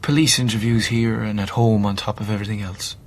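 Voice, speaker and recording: A man's voice: on the low side, steady tone, fairly quick, lightly acted. An actor with an Irish accent playing a wry, resolute man in a radio play, informal and decisive in manner. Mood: resolved, protective. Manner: informal, dryly joking, decisive. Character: wry, resolute Irish man who has chosen to die.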